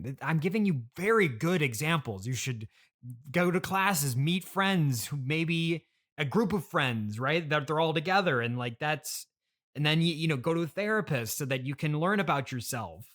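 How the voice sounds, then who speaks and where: A man's voice: fairly high pitch, animated, moderate pace, fairly bright tone. A man hosting an improvised comedy interview as the exasperated straight man, stopping and restarting as he talks. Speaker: podcast host.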